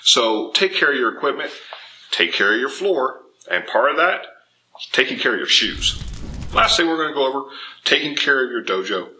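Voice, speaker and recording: A man in his thirties giving informal, animated, emphatic instruction to camera as a martial arts teacher. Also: mid-range voice, moderate pace, a little hiss or hum, rough recording. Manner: animated, emphatic, acts out imagined objections. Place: indoor dojo with bare walls and wood floor.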